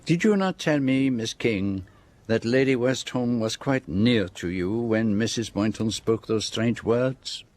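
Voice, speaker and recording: An actor playing a shrewd, precise Belgian detective with a French-speaking accent, formally laying out a case in a radio drama. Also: male voice, mid-range voice, darkish tone, close to an everyday voice. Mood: measured, assured. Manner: measured, precise, formal explanation. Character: shrewd, precise, theatrical Belgian detective, middle-aged man.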